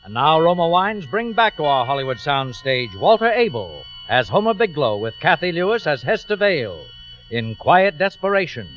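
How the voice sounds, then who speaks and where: Male old-time radio announcer, high and dramatic, swelling music behind, muffled sound. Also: very animated, moderate pace. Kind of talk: dramatic 1940s radio introduction.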